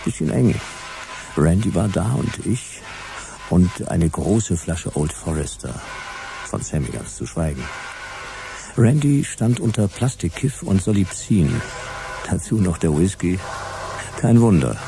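A man's voice: low-pitched, big pitch swings, measured pace, creaky, pauses often, music in the background, full character voice. A man playing a world-weary private detective in a sci-fi radio drama, speaking with dry, laconic irony. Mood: wry, reflective. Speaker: narrator and lead character. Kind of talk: hard-boiled radio drama narration and dialogue.

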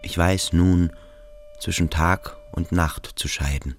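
An actor playing a troubled, pensive young male pupil in a literary radio play, speaking gravely and haltingly. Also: deep voice, steady tone, faint music behind. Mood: grave, reflective.

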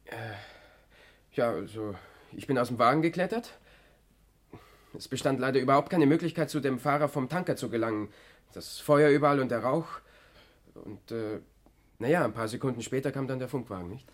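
A man's voice: medium pitch, animated, a little fast, long pauses, close to an everyday voice. An actor playing a talkative, agitated eyewitness in a German 1960s radio crime drama, hesitating and stammering as he speaks. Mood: hesitant. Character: shaken, talkative male motorist, witness to an explosion.

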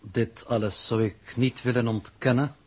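An imperturbable male expedition coordinator in 1960s radio drama. He speaks in stiff, precise, formal phrases with a middle-pitched, husky voice. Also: steady tone, measured pace, darkish tone. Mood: unruffled, formal.

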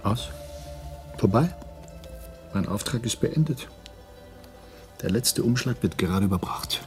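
Low-voiced, resigned secret agent. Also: male voice, expressive, measured pace, soft background music. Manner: terse, defensive. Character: resigned, self-justifying male undercover double agent. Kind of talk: radio drama acting.

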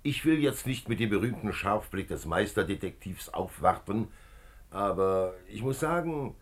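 Commissioner: measured and methodical, deep rough-edged voice with moving melody, 1950s radio crime play. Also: male voice, animated. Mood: calm explaining. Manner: measured, explanatory, authoritative. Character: calm, methodical male police commissioner, middle-aged detective. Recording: 1950s radio drama recording.

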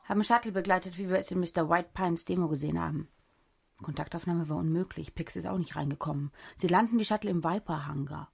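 An actress playing a brash, sharp-tongued special agent in a cyber thriller radio drama, husky and creaky, with a sarcastic, commanding manner. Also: female voice, fairly low pitch, quick pace. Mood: matter-of-fact. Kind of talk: German radio drama acting.

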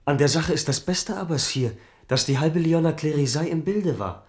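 A man's voice: fairly high pitch, moderate pace, a little mellow, lightly acted. An actor playing a watchful, blunt servant of a king in a German radio drama. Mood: urgent reporting.

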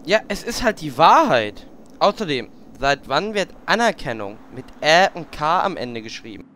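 Man playing a blunt, animated hero. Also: on the high side, moderate pace. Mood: annoyed, mocking. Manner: blunt, mocking. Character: blunt, tactless hero, grumbling and mocking. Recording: faint background noise.

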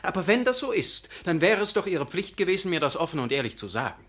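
An actor playing a proud, aggrieved young curate in a radio drama, formal, self-righteous and declamatory. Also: male voice, high-pitched, big pitch swings, fast, fairly bright tone, breathy edge, exaggerated voice. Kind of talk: German radio play acting.

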